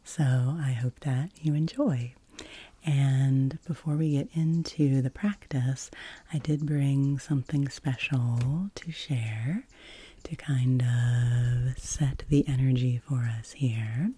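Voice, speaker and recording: A woman softly guiding a relaxation practice as an ASMR host, with a breathy, whispery voice and a slow, soothing, gentle manner. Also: very deep voice, fairly even intonation. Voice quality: breathy, whispery soft-spoken.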